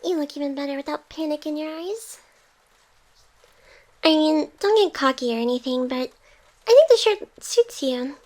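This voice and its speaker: Woman doing a teasing ai hacker girl, really high soft voice, devoted, asmr roleplay. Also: lively intonation, moderate pace, pauses often. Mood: teasing, fond. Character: playful kuudere female AI hacker, devoted and teasing.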